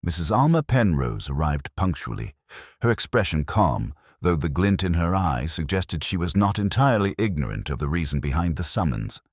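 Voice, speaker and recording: A man reading scripted story narration in a measured, literary manner. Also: sits low, sing-song, no room sound, studio quality. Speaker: audiobook narrator.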